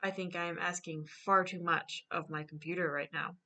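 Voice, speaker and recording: A woman's voice: on the low side, steady tone, moderate pace, bright, forceful tone. A woman chatting casually and tentatively in a group stream. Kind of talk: casual chat.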